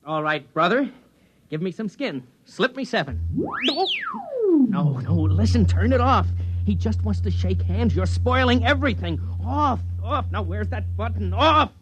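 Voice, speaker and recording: Wry, nervous space explorer, highish voice. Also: male voice, very animated, a little slow, faint music behind. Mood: nervous placating, then panicked. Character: exasperated, nervous human space explorer among aliens. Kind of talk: 1950s radio drama acting, wry first-person narration and dialogue. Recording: dull vintage radio recording.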